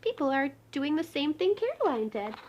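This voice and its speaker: A woman's voice: high voice, very animated, moderate pace, a little mellow, lo-fi sound, a little hiss or hum. A woman reading a children's picture book aloud expressively, talking directly to young listeners. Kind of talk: expressive children's storybook read-aloud with asides.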